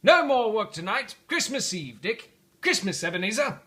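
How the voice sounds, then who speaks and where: High, hollering, jolly old gentleman. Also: very animated, moderate pace. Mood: jovial. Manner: booming, exuberant calls. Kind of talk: dramatic storybook read-aloud.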